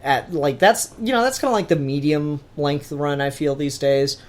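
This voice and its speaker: Podcast host just chatting comics, kinda rambly n informal, swears a bit, mid pitch voice. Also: moderate pace. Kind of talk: casual comic book discussion.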